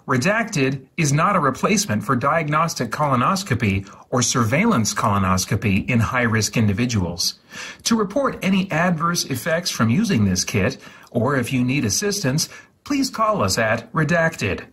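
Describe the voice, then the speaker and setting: A man reading an instruction leaflet aloud in a deliberate, deadpan way, drawing out and repeating some words. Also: mid-range voice, husky. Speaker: host of a gaming livestream channel.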